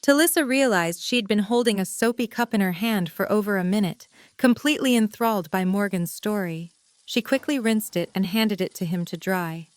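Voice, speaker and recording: A woman delivers scripted story narration. Her voice is mid-pitched, and the sound is close and very dry, with no room echo. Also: moderate pace.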